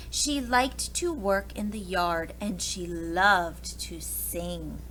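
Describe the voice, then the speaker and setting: A woman reading a storybook aloud to young children in an expressive way, pausing to explain things to them. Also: mid-range voice, slight background noise, poor audio. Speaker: preschool read-aloud teacher.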